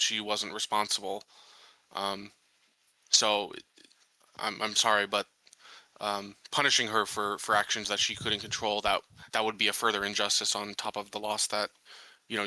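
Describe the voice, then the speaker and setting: A man playing a tabletop roleplaying game, talking through his character's actions in a measured, halting, earnest way. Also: lowish voice, pushed and bright, no room sound, poor audio. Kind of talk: casual in-game discussion.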